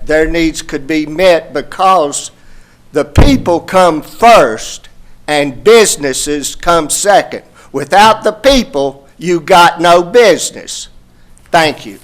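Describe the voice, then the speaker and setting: A man making a deliberate, emphatic public comment at a podium microphone in a county meeting room. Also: a little high.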